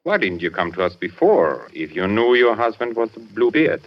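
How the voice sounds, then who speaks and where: An actor playing a stern, formal police colonel, questioning with authority in 1940s radio suspense style. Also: male voice, fairly low pitch, big pitch swings, moderate pace. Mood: grave, probing. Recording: dull, hissy vintage radio recording.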